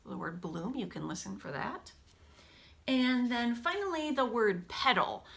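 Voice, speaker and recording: A woman teacher reading a picture book aloud to children, expressively, with casual, hushed explanatory asides. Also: on the high side, unhurried, gravelly. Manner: animated storybook delivery, dropping to hushed asides.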